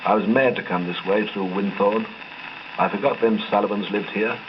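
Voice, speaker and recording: A man voicing a rough Cockney crook in a thriller story, hoarse, urgent and complaining. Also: steady tone, moderate pace, lightly acted. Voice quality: hoarse, low. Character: rough, grumbling, unshaven Cockney crook in danger. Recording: dull, noisy 1920s gramophone record.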